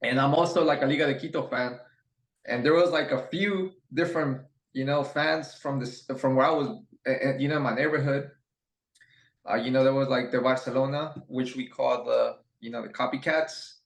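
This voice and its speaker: Gravelly-voiced man from Ecuador, echoey room. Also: mid-range voice, normal speed. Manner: relaxed, rambling, many fillers and restarts. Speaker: guest. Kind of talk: casual interview chat.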